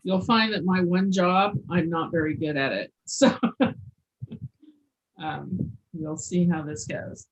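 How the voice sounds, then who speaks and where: A judge presiding over a court hearing on a video call, measured, with some fillers. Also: mid-range voice, fairly even intonation, poor audio.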